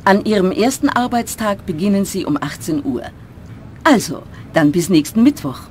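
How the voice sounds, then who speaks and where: A woman voicing a lively businesslike office manager. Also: mid-range voice, normal speed, a little hiss or hum, lightly acted. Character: woman running a travel agency office. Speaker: employer in textbook dialogue. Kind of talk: scripted textbook dialogue.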